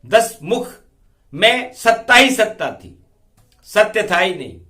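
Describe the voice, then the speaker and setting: High, slow-chanting male religious storyteller. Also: lively intonation, pushed and bright. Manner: declamatory, drawn-out verse lines broken by quick asides. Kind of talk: devotional storytelling, half-sung rhyming verse mixed with spoken explanation.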